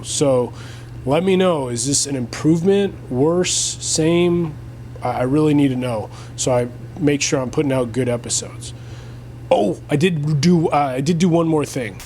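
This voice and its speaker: Deep-voiced man, garage vlog host, lapel mic, chatty and meandering. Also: darkish tone, a little hiss or hum. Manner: chatty, thinking aloud, frequent uh and restarts. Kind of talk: casual talk to camera. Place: home garage workshop.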